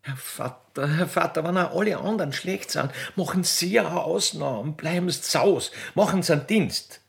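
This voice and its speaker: A man's voice: a little high, moderate pace, vocal fry. A man voicing a naive, impetuous young Austrian railwayman's daughter in a radio play, pleading in a melodramatic singsong. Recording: music under the voice.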